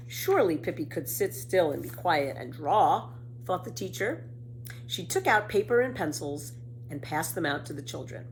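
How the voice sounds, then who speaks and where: A woman reading a children's story aloud as narrator, in an expressive way. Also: fairly low pitch, slight background noise, low-quality recording. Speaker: storybook reader.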